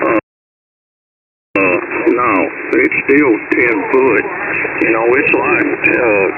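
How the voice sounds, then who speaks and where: A man with a gravelly Southern US accent chatting casually in a folksy, rambling way over shortwave ham radio. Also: mid-range voice. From Kentucky, United States. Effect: shortwave SSB radio. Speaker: amateur radio operator. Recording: very noisy narrowband shortwave radio, distant.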